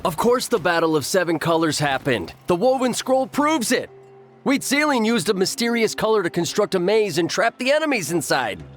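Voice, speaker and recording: A man's voice: sits high, very animated, moderate pace, slight background noise. A man voicing a hot-headed, stubborn tribesman in a video game, insistent and emphatic. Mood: indignant, insistent. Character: hot-headed, stubborn male tribesman defending old legends.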